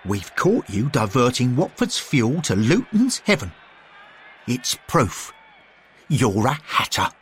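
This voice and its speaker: Brief: man playing a blustering older chap, slow and scolding. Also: medium pitch, very animated, slightly rough, pitched above the performer's own voice, heavily acted. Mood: stern. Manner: scolding, commanding.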